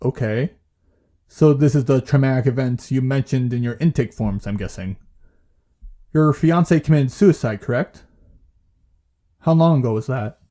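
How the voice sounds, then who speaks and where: A man playing a calm, patient therapist in an audio drama, asking questions in a measured, gentle way. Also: mid-range voice, natural voice. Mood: probing. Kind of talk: naturalistic audio drama dialogue.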